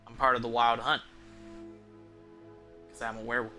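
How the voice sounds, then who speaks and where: A young man chats casually through his gameplay in a very bright, forceful voice. He rambles as he thinks aloud, with game music underneath. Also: mid-range voice, level tone, normal speed. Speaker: game streamer. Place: indoor room at home.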